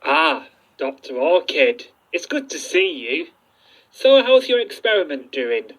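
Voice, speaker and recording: Man playing a slow, polite archaeology professor. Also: fairly high pitch, very animated, slight background noise, rough recording. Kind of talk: fan audio drama acting.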